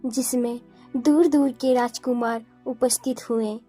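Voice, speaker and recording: A woman narrating a children's animated story in a scripted storybook style. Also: on the high side, measured pace, soft background music.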